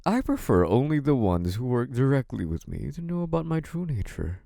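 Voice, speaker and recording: Audio roleplay lead: male actor as young crime-family heir, sly and polite, lively pitch movement, very dry intimate sound. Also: moderate pace, vocal fry, dry recording. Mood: smug, menacing. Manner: hesitant and apologetic act, turning smug and taunting. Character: young mafia heir, feigned naive politeness hiding cunning, possessive menace. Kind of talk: dramatic first-person audio roleplay.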